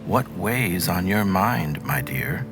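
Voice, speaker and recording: A man's voice: low voice, big pitch swings, takes it slowly, over music, full character voice. A man voicing a meek, humble old fisherman in a storybook, earnest and deferential in his pleading. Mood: gentle, uneasy.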